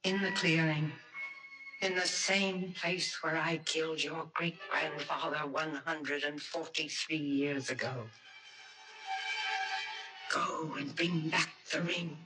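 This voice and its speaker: Sinister ageless woman, ominous and unhurried, eerie radio drama over prominent music. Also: sits low, steady tone, rough recording. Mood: sinister, calm. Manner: deliberate, ominous. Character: sinister, ancient mother and her dutiful daughter.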